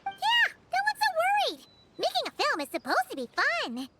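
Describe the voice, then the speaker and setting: Squeaky, very high childlike fairy. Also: female voice, normal speed, background noise, full character voice. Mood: reassuring. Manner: refers to self by name, excitable. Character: tiny chirpy fairy companion, female, eager and childlike. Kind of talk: anime video game dubbing.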